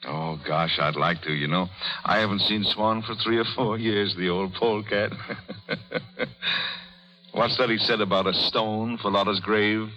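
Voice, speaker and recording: A man's voice: fairly low pitch, unhurried, bright, forceful tone. An actor playing a hearty, blustery middle-aged executive and fond father in an old radio drama, with folksy talk. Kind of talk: 1930s radio drama acting. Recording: muffled vintage radio recording.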